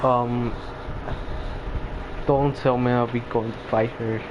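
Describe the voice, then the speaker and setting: Slow, offhand man on a dull, noisy mic. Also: medium pitch, level tone. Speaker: gaming video creator. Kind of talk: casual gameplay reaction commentary.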